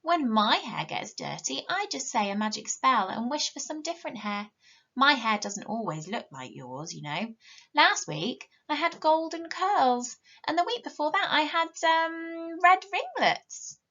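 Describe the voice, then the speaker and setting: Lady doing a boastful bossy little princess for a storybook, swoopy high voice, kinda muffled audio. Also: very animated, measured pace, bright, forceful tone, full character voice. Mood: haughty, boastful. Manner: breezy, emphatic, haughty. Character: boastful, bossy young princess girl telling tall tales.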